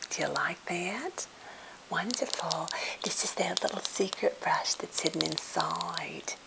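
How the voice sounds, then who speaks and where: A woman playing a timid, slightly odd captive who is eager to please, speaking in a halting, gentle, polite whisper for an ASMR role play. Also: fairly low pitch, rough recording. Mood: soothing, fussy. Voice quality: breathy whisper with fry.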